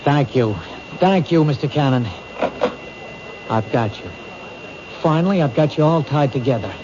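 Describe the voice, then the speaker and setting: A man plays a determined detective in a radio mystery. His fairly high voice swings widely in pitch as he probes and reasons urgently. The recording is muffled. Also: expressive, normal speed, a crowd in the background, lightly acted. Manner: emphatic, probing, reasoning aloud. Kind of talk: radio mystery drama acting. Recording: muffled, noisy old radio drama recording.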